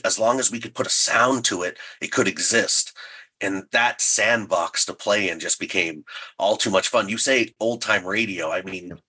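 A man's voice: lowish voice, sharp tone, husky. A man speaking as an interview guest, casual and playful, with a self-deprecating way of talking. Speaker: guest, audio drama creator.

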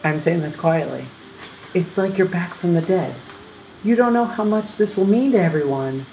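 A woman's voice: high-pitched, sing-song, a little slow. A woman voicing an earnest young lioness, astonished and relieved, in a comic script read. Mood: quiet, tender. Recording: echoey room with faint noise.

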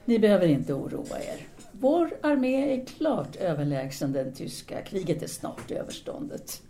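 A woman's voice: mid-range voice, very animated, mellow, close to an everyday voice. An actress playing a composed, possessive intellectual French woman in an audio drama, formal and self-assured in her speech. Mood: dismissive.

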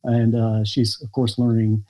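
An American man, a podcast guest, telling a personal family story in a halting, reflective way with many pauses and fillers. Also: mid-range voice, flat delivery, noisy background. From United States. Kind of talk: podcast interview conversation.

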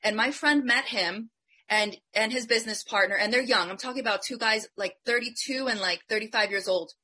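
Woman from Colombia, business panelist; punchy, motivational delivery, sharp bright tone. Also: a little high, fairly quick, slightly rough. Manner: emphatic, motivational, animated. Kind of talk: live online panel discussion.

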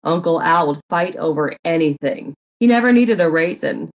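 A woman's voice: sits low, animated, normal speed, voice pushed down. A woman playing a flippant, defensive nephew under suspicion in a comic murder mystery reading, talking in a dry, offhand way.

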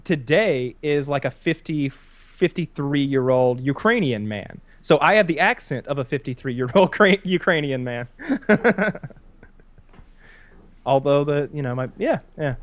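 A man's voice: on the high side, animated, measured pace, no room sound. A man in his thirties hosts a casual chat. He rambles with lots of restarts and swearing. The sound is close and dry, with no room around it. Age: 30s.

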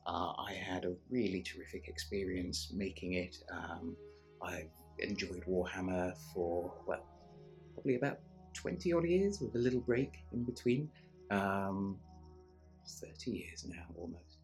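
A man's voice: deep voice, expressive, a little slow, creaky, darkish tone, soft background music. A middle-aged man, a voice actor, talking casually and chattily with frequent hesitation. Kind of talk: casual testimonial.

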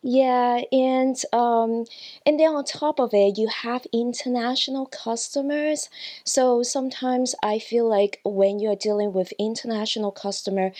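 Slow, high-voiced woman guest. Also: a little mellow. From China, grew up in Hong Kong. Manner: earnest, with some non-native grammar. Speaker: customer service employee, guest. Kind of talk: conversational interview.

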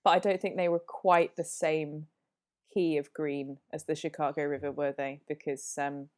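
Low, mellow woman with a cold. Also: little pitch movement, normal speed. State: has a cold. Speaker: podcast guest. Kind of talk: casual podcast chat. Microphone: remote call.

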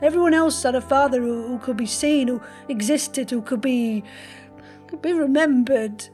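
An actress playing an older, aggrieved and persistent woman in an audio drama, speaking in a halting, insistent, emotional way. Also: high voice, mellow, soft background music, lightly acted. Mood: hurt, bitter. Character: aggrieved, persistent daughter of a Russian prisoner of war. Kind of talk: naturalistic audio drama dialogue.

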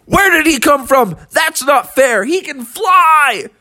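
A man voicing an indignant, whining mountain lion in a children's story, protesting loudly. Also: expressive, takes it slowly, heavily acted. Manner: high-pitched protesting.

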